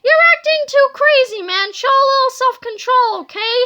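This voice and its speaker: Woman as fretful, emotional little boy, very high. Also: expressive, moderate pace, pushed and bright, highs cut off, heavily acted. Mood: scolding. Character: emotional, fretful young boy, the hero's best friend. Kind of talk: children's audiobook character voice.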